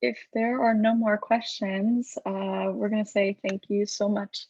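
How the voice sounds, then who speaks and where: A woman's voice: mid-range voice, flat delivery, a little slow, darkish tone, no room sound, highs cut off. A woman moderating a casual question-and-answer session in an encouraging, polite way. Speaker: moderator of a post-show discussion. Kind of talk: casual hosted Q&A.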